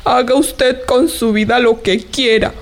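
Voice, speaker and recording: An actress playing a blunt, indignant old maidservant in a radio drama, reproachful and emphatic. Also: female voice, a little high, fairly even intonation, measured pace. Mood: wounded, indignant. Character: old maidservant, blunt, scolding, wounded confidante.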